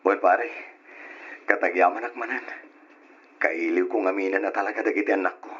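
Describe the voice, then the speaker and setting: A man's voice: deep voice, fairly even intonation, a little fast, fairly bright tone, husky. An actor playing a weary, devoted working husband and father in a radio drama, earnest and plaintive. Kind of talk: old-style radio drama acting. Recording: muffled, hissy old radio drama recording.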